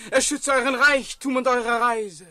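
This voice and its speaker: Slow, high-voiced pleading beggar. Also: male voice, steady tone, bright, forceful tone, no room sound, studio quality, heavily acted. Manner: slow, wheedling, imploring. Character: ragged crippled male beggar, pleading and cryptically ominous. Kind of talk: German radio play acting.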